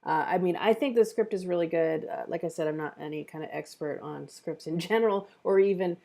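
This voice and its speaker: A woman's voice: lowish voice, brisk, vocal fry, warm tone. A woman hosting an online script table read, chatting casually in an appreciative, encouraging way. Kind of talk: casual feedback chat and stream sign-off.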